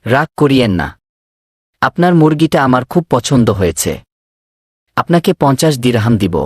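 A man voicing a pushy swindler in a cartoon, husky, insistent and wheedling. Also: medium pitch, big pitch swings, moderate pace, dry recording, clean studio sound. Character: pushy male swindler posing as eager chicken buyer. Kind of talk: cartoon dubbing.

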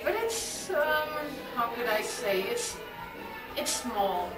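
A woman's voice: on the high side, big pitch swings, slow pace. A woman in her thirties from Portugal with a Portuguese accent, a punk musician searching for words and saying "it's like" often. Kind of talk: casual interview. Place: indoor club hallway, echoey. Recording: echoey room, distant mic, music behind.

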